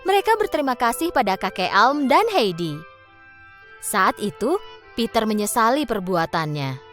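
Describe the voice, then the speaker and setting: A woman's voice: fairly high pitch, very animated, moderate pace, a bit bright, faint music behind. A woman narrating a scripted children's bedtime story.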